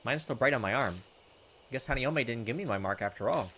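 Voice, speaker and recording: A man's voice: mid-range voice, lively intonation, brisk, a bit bright, slight background noise. A man giving casual, chatty commentary on a video game, reading its text aloud and talking back to it. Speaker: gaming video host. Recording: music behind the voice. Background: video game music.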